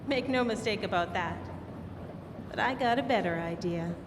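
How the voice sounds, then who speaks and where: A woman's voice: medium pitch, moderate pace, lightly acted. A woman playing a shrewd, scheming double-crosser in an old-time radio drama, recorded in a hall. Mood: conspiratorial, frank. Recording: noisy, echoey live hall recording.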